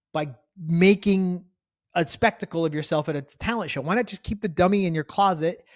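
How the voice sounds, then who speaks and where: A man's voice: high voice, no echo. A middle-aged man co-hosting a podcast, telling stories in a wry, joking, casual way into a close microphone. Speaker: podcast co-host. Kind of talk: casual banter on a TV rewatch podcast.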